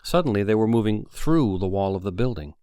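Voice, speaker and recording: A man's voice: lowish voice, expressive, a little fast, dark tone, clean tone, no echo, studio quality. A man reading a story aloud as an audiobook narrator, in dramatic scripted narration.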